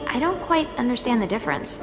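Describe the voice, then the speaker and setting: A woman voicing a sharp-tongued seventeen-year-old girl sent by a council, who also narrates her own thoughts, heard over background music in a game audio drama. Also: fairly high pitch, sing-song, fast talker, poor audio. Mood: wary. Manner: sarcastic, dismissive.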